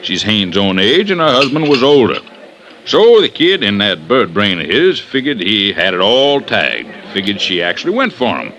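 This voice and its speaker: An actor playing a brash, cocksure deputy district attorney in an old radio drama, arguing in a folksy, emphatic way. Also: male voice, lowish voice, pushed and bright, heavily acted. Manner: folksy, emphatic, argues his case with relish. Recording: vintage radio drama recording.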